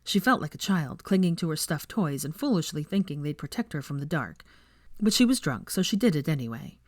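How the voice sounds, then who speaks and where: Low-pitched woman narrating a story. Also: expressive, fairly quick, no room sound, clean studio sound. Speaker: audiobook narrator. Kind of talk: scripted narration of fan fiction.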